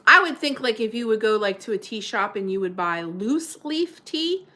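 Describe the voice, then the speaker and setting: A woman's voice: lively intonation, normal speed, pushed and bright. A woman from New Jersey with a Jersey accent, casually chatting on a livestream from her apartment desk and reacting to viewer comments, her nose running from a cold. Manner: chatty, rambling, reacts to chat with sudden high exclamations. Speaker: livestream host.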